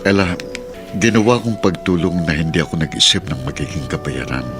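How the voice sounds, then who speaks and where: A man's voice: deep voice, animated, brisk. A man acting a patient, kindly middle-aged uncle and guardian in a Filipino radio drama, speaking earnestly. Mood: gentle, reassuring. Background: background music.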